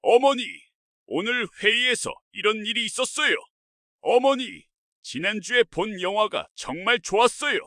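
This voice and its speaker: A man's voice: sits high, animated, normal speed, sharp tone, no echo, studio quality, full character voice. A man playing a devoted eldest son speaking earnestly and politely to his mother in a family story drama. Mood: affectionate, nostalgic.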